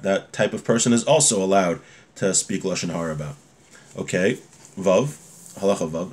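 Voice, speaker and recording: A man around forty giving an informal religious lesson in a conversational way, reading Hebrew phrases and explaining them with everyday examples, recorded at a desk in a home room. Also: mid-range voice. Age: 30s to 40s. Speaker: religious teacher. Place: small home room, indoors. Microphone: webcam or device mic at desk distance.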